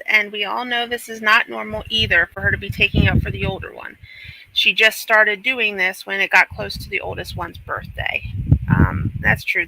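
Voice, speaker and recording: A woman's voice: mid-range voice, gravelly, sharp tone, low-quality recording. A woman panelist giving critical, emphatic commentary in casual talk after reading out comments. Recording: faint background noise, clicks.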